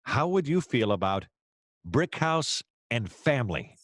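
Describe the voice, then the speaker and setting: An actor playing a wry, gallant private detective who narrates a noir radio play in dramatic, formal phrasing. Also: male voice, mid-range voice, sing-song, measured pace, fairly bright tone, no room sound, studio quality. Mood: earnest, gallant.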